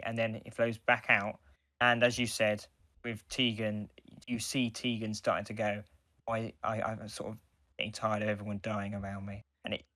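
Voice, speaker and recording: A man with a slightly low voice co-hosts a fan podcast. He talks casually and keenly, often repeating words as he works out his point. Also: fairly bright tone. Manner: enthusiastic, halting with repeated words. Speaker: podcast co-host.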